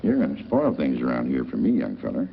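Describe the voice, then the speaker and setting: A man's voice: rumbling low, very animated, a little fast, gravelly, heavily acted. An actor playing a wry, gossipy small-town newspaperman, talking in a folksy 1940s film manner. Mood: folksy teasing. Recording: dull, noisy old film soundtrack.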